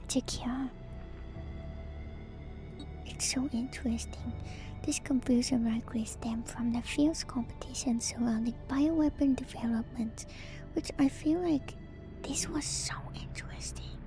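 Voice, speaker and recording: A woman whispers slowly in a soft, breathy voice that sits a little high. She delivers casual ASMR commentary in a musing, hesitant way, letting phrases trail. Music plays clearly behind her. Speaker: streamer hosting an ASMR lore stream.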